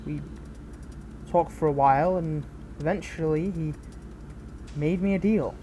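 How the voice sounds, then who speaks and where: A betrayed fallen hero played by a woman speaks in a lowish, very mellow voice, caring yet bitter toward a former sidekick. The delivery is intimate and earnest, broken by hesitant, dramatic pauses. It is an audio roleplay recording with clear noise behind the voice. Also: highs cut off. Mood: explanatory, hesitant. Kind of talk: scripted audio roleplay addressed to the listener.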